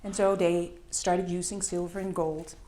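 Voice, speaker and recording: A woman with a Dutch accent speaks to a conference audience, her voice sitting fairly low and echoing through a large room. She pauses often and leans on fillers as she goes. She talks through her slides in plain, simple terms. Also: flat delivery, dark tone. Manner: hesitant, many fillers, self-deprecating. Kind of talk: presentation with slides. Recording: echoey hall.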